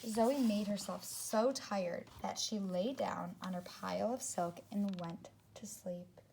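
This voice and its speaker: A woman teacher reading a story aloud to young children, speaking expressively and deliberately, with asides to her second-grade listeners. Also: medium pitch, gravelly, warm tone, slight background noise, poor audio.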